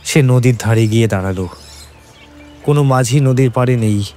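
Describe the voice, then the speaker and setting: A man's voice: mid-range voice, normal speed, warm tone. A man narrating a scripted horror story in a dramatic storytelling style. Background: background music.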